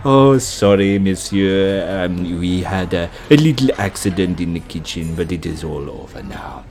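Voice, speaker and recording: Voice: deep voice, lively intonation, measured pace, darkish tone, faint music behind, pitched below the performer's own voice. A performer voicing a polite, flustered restaurant waiter, apologetic and hesitant.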